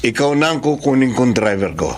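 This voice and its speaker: A man acting as a generous boss in a radio drama. His mid-pitched voice moves animatedly and reassuringly, with faint noise and ambience behind it. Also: expressive, brisk, darkish tone, natural voice. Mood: kindly, approving. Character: generous, kindly male boss hiring a driver.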